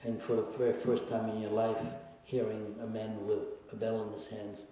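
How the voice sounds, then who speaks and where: A man in his seventies giving a personal testimony at a lecture, reflective and wry, pausing often and teasing his audience. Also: fairly low pitch, flat delivery, dark tone. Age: 70s. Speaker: guest speaker, Holocaust survivor. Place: lecture hall. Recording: echoey hall recorded from far off.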